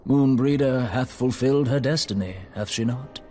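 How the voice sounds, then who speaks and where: An actor playing a solemn, grieving scholar in a video game, speaking formal, archaic, theatrical English. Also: male voice, medium pitch, normal speed, mellow, soft background music, close to an everyday voice. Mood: solemn, reflective. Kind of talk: scripted video game drama acting, archaic diction.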